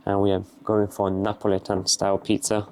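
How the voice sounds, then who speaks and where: Low, flat-voiced Bulgarian man. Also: a little fast, a little hiss or hum. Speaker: vlogger. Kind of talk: casual explaining while cooking.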